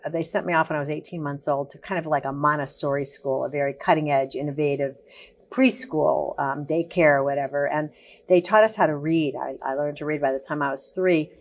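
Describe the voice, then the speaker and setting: Woman, about sixty, studio interview guest; low voice, long thoughtful sentences, frequent ums. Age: around 60. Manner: reflective, talks in long searching sentences with many ums. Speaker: guest, activist. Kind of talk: life-story interview. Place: TV studio set.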